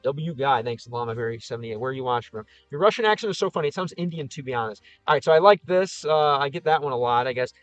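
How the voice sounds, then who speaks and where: A man's voice: mid-range voice, expressive, fast talker, hardly any pauses, no echo, faint music behind. A man with a Chicago Midwest accent, slightly whiny vowels, thinking aloud as he answers comments on a livestream with lots of fillers and restarts. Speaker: streamer and game creator.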